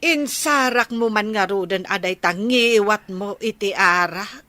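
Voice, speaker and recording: A woman's voice: medium pitch, a little slow, bright, forceful tone, no room sound. A woman playing an exasperated, nagging woman fed up with a freeloader, with an Ilocano accent, in a radio comedy drama. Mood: scolding. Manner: nagging, scornful.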